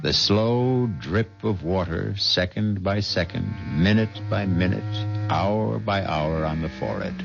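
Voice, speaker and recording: Deep, theatrical male radio host. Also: gravelly. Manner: ominous, deliberate, theatrical. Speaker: host and narrator. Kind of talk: dramatic scripted radio narration. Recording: dull vintage radio recording. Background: music.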